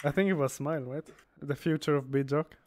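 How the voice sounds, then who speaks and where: A young man in his twenties leads a loose group podcast chat, often breaking into laughter. His voice sits somewhat high, and he curses casually. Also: fairly quick. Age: 20s.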